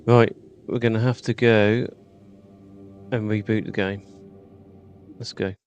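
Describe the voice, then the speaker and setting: A man's voice: on the low side, little pitch movement, slow, a little mellow, lo-fi sound, soft background music. A man casually commenting while streaming a game, wry and self-deprecating.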